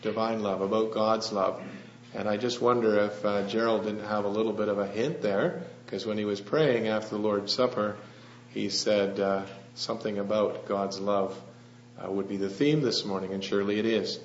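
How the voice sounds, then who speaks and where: A soft-spoken missionary preacher giving an earnest sermon, pausing often with "uh". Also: male voice, on the low side, steady tone. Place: church hall. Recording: roomy lo-fi church recording with faint hum.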